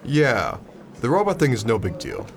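A man's voice: mid-range voice, wildly swooping pitch, moderate pace, a little hiss or hum. A young man playing an anxious, stubborn student in an audio drama. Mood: defensive. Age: young adult. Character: anxious, stubborn male student nobody believes.

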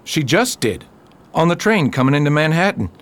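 A man's voice: a little high, very animated, moderate pace. A man playing a plain-spoken, skeptical investigator in a radio mystery, dry and level-headed. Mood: friendly, matter-of-fact. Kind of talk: radio mystery drama acting.

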